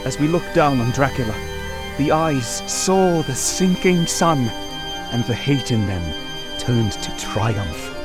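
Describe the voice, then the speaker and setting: A haunted young husband played by a male actor recounts events in period radio-horror style. He speaks slowly and solemnly at a medium pitch. Loud music and rain swell behind him. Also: animated. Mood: haunted, grave. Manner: solemn storytelling. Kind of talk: 1930s-style radio drama narration.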